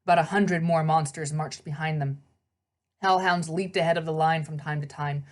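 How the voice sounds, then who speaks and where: A woman's voice: deep voice, fairly even intonation, moderate pace. A woman reading a children's novel aloud as narrator, in scripted storybook narration.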